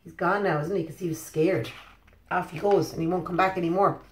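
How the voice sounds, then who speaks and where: A woman's voice: on the low side, fairly even intonation, fairly quick, a little hiss or hum. An Irish woman in her thirties reading a children's story expressively, with simple questioning asides to young listeners. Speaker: preschool storyteller. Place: living room, indoors. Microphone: phone or webcam at about arm's length. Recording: echoey living room.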